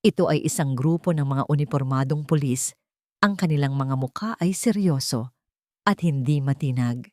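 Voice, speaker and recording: A woman's voice: very deep voice, moderate pace, mellow, no echo, studio quality. A woman with a Filipino accent narrating a scripted dramatic story.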